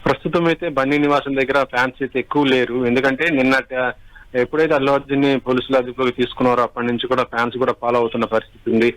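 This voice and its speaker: A man giving a live unscripted news report, halting and repeating himself as he goes. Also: mid-range voice, level tone, a bit bright, low-quality recording. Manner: halting, repetitive, restarts words.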